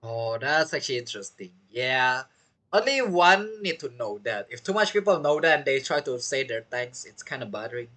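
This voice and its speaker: Lively casual game streamer woman. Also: rumbling low, moderate pace, a little hiss or hum. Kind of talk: casual gameplay commentary.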